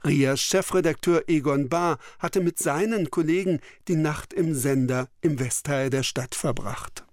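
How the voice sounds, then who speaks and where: A male documentary narrator reads a script in the present tense. His voice sits a little high and the sound is very dry. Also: unhurried, darkish tone, no room sound.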